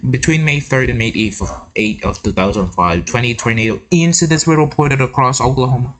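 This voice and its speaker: A man giving casual, excitable reaction commentary, repeating words and breaking into his own read-aloud with asides. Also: mid-range voice, a little fast. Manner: excitable, repeats words, interjects while reading. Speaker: host of a reaction video.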